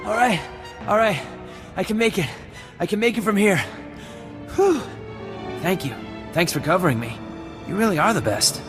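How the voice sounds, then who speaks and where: A man's voice: sits high, lively intonation, normal speed, pauses often. An actor playing a harried, grateful male undercover operative in a video game, friendly in manner. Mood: relieved. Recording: loud game music behind.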